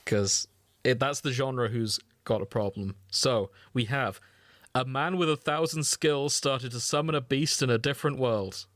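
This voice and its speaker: A man talks in a medium-pitched voice with very little room sound. He banters casually as a podcast co-host, reading things out and reacting with sweary mock exasperation. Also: a little slow, fairly bright tone, no room sound, clean studio sound.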